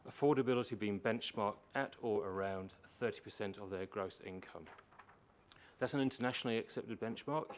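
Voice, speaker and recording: Man, low voice, public submission, explanatory, emphatic repetition, slight background noise. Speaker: housing organisation manager presenting to a council. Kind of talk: prepared public submission speech. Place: council meeting chamber. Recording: meeting room with faint background noise.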